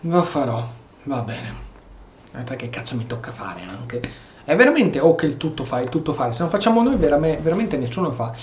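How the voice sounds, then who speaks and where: A man with an Italian accent giving casual, halting game commentary, often repeating words as he goes. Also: fairly high pitch, sing-song, darkish tone, highs cut off. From Italy. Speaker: gameplay streamer. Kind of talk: casual role-play game commentary in Italian with stray English. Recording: echoey room, faint background noise.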